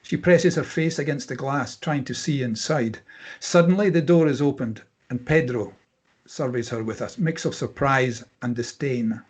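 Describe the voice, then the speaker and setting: Husky man narrating a screenplay. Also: mid-range voice, normal speed. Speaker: stage-direction narrator. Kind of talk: scripted screenplay narration at a table read.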